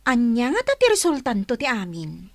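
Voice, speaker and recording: Lively, high-voiced chatty big sister. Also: female voice, normal speed, no echo. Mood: surprised, chatty. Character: chatty older-sister type, amused village gossip. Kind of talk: radio drama acting.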